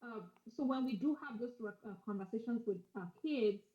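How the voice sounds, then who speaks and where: A woman's voice: on the high side, fairly quick. A woman from Nigeria politely asking a question on a video call. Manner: polite, warmly appreciative. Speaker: audience member asking a question. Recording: dull video call audio.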